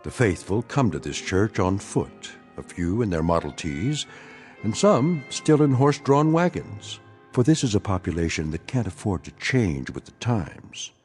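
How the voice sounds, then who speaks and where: A measured storyteller sets the scene for a radio drama. His voice is on the low side but moves with lively inflection. Faint music sits underneath. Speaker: narrator. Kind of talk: scripted radio drama narration.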